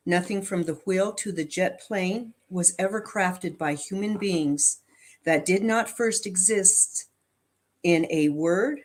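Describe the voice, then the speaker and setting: A woman giving an inspirational reading aloud to a congregation at a spiritual service, with deliberate, measured phrasing. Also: lowish voice.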